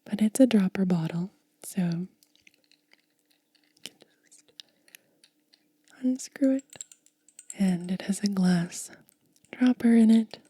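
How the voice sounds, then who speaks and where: A woman whispers very softly and breathily, right up close in a dry recording. She takes her time with an ASMR unboxing, stretching words out at an extremely slow pace. Her tone is very mellow and relaxed, with a gentle manner throughout. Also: mid-range voice, husky, no echo. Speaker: ASMR host. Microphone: close microphone.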